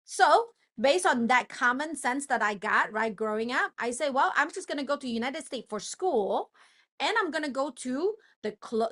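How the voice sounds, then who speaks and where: A woman's voice: a little high, fairly bright tone. A woman with a Malaysian accent, talking casually to her community and checking in often with her listeners. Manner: chatty, keeps asking "right?". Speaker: host.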